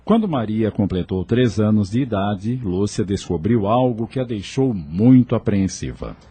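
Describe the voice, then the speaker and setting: A male narrator tells a scripted story in a Brazilian accent. His voice is low and mellow, with very animated intonation, as in a dramatic audio novel. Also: moderate pace, husky. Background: soft music.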